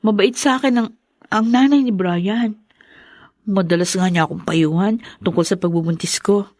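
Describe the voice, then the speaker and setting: A woman's voice: mid-range voice, normal speed, darkish tone, no echo, studio quality, close to an everyday voice. A woman playing a meek, weary young pregnant wife in a Tagalog radio drama, with a plaintive manner. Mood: shy, hesitant. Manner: apologetic, plaintive.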